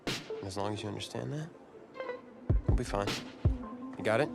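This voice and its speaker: An actor playing a cocky, territorial high school quarterback in a game cutscene, boastful and coolly confrontational. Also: male voice, moderate pace, creaky, over loud music. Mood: warning.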